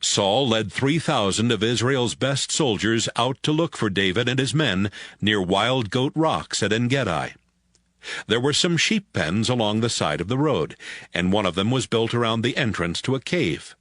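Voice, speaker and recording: A man's voice: on the low side, expressive, normal speed, fairly bright tone, no echo. A man narrating a dramatized audio Bible as a scripted story.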